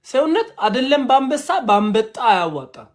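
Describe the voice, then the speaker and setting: A man's voice: extremely high pitch, sing-song, racing. A man with an Ethiopian accent, a comedian who teases and jokes with strangers on a live stream, mixing broken English and Amharic. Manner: playful, teasing, switches between broken English and Amharic. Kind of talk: joking live-stream chat.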